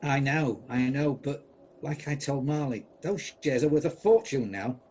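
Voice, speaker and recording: A man's voice: mid-range voice, unhurried, a little mellow, natural voice. A man acting a bitter, regretful old miser, haunted and pleading, in an amateur radio play. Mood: defensive, dismissive. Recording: dull home video-call recording, background noise.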